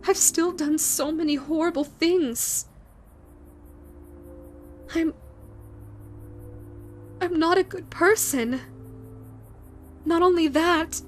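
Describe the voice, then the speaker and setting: A woman plays a shy, self-loathing ghost woman in a romantic audio drama. Her voice is high and slow, stammering and tender, with music playing behind her. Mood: anguished, overwhelmed. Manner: stammering, hesitant, halting with repeated words. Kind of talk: romantic audio roleplay addressed to listener.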